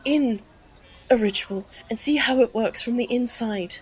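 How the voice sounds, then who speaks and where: A woman's voice: on the high side, unhurried, slightly rough, poor audio. An actress playing a determined, daring young woman in an audio drama, earnest and challenging. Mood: pleading, determined.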